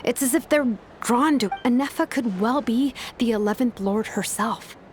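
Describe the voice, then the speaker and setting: High, serious young woman leader voiced by an actress. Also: moderate pace, a little hiss or hum, lightly acted. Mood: grave.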